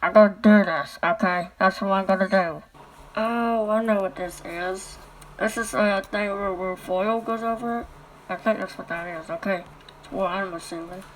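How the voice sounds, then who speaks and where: A woman's voice: medium pitch, steady tone, normal speed, a little hiss or hum, low-quality recording. A woman vlogging casually at home into her phone, talking through a cardboard tube and ending nearly every sentence with a questioning "okay?". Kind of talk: rambling casual vlog talk. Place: indoors at home.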